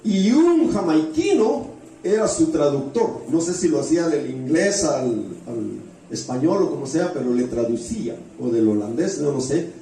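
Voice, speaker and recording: A man's voice: a little high, sing-song, moderate pace, warm tone. A man preaching a sermon through stories, repeating phrases for emphasis and often putting rhetorical questions to the congregation. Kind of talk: sermon with storytelling. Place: church hall with heavy echo. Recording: very echoey hall, distant mic, faint noise.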